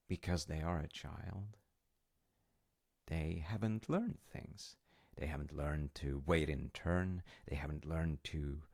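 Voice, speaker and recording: A man playing a gentle, loving, wise dad in an intimate audio roleplay, speaking tenderly and hesitantly in short phrases with a gravelly, breathy voice. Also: extremely low pitch, dry recording, clean studio sound, heavily acted. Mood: warm, tender. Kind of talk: intimate audio roleplay, improvised-sounding storytelling.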